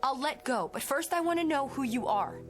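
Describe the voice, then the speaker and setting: A woman's voice: high voice, expressive, fairly quick, over music. A woman playing an anxious, bewildered grown woman in a radio drama, earnest and questioning in short phrases. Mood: demanding, bewildered. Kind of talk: old-time radio drama acting.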